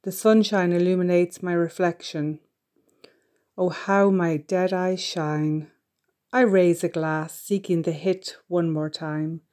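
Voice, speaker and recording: Woman, poet, low mellow voice, slow deliberate poetry reading. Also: steady tone. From Ireland.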